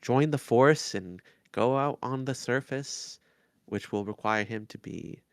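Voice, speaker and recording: A man co-hosting a podcast, discussing things in a measured, thoughtful and somewhat hesitant way. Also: medium pitch, vocal fry, clear, resonant tone, dry recording, studio quality. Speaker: podcast co-host. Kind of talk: casual analytical discussion.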